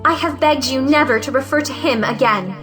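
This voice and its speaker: Young unmarried heroine, female actor, period audio play: high bright voice, earnest, outspoken, music faint behind. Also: fairly quick, close to an everyday voice. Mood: indignant. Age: young adult. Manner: earnest, plaintive, articulate. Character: defiant, frank young unmarried woman of means. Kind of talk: theatrical audio drama acting of a 1917 play.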